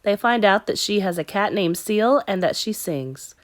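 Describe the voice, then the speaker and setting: A woman teacher narrating a children's story in an expressive read-aloud style. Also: mid-range voice, moderate pace.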